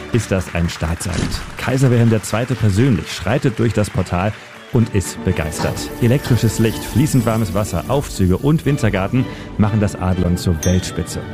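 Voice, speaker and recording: A man narrating a scripted history feature for radio in a measured storytelling manner. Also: lowish voice. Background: instrumental music bed.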